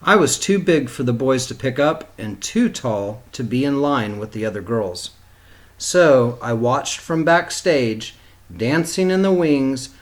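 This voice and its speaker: A man reading a picture book aloud to young children like a teacher, in an expressive style. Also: mid-range voice. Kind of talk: expressive storybook read-aloud.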